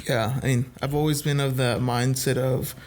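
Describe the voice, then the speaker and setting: A man's voice: medium pitch, flat delivery, normal speed, a little mellow, a little hiss or hum. A man from El Salvador who grew up partly in the US, speaking as a guest in a casual podcast conversation. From El Salvador, moved to the US at age eight. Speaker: podcast guest, youth minister.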